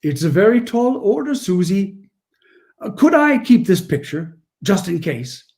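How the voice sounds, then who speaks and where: A man acts out a kindly old Santa from a forties radio play. His voice sits high and swings freely, with a soft, mellow tone. He speaks in a gentle, courtly way. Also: big pitch swings, a little slow, full character voice. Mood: tender. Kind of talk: 1940s radio acting.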